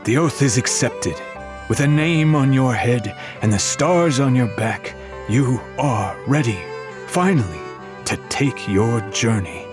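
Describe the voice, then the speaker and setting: A man voicing a slow, solemn high priest. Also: lively intonation, over music. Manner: ceremonial, slow, weighty. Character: solemn high priest, grave male ritual leader. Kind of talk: audiobook character voice.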